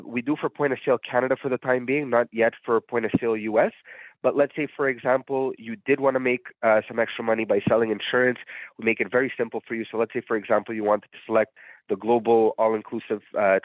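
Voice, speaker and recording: A man who is under the weather and sounds nasal, giving a relaxed, explanatory walkthrough of a booking platform with frequent fillers. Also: medium pitch, dry recording. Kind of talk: live software demo walkthrough. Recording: dry, phone-like narrow sound.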